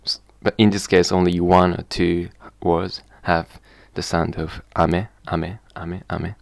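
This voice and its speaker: Japanese-accented man, deep gravelly voice, slow hesitant explaining, dry close sound. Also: flat delivery, no room sound. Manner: hesitant, repeats and restarts, sounds out example words slowly. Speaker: vlogger. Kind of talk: casual spoken explanation to camera.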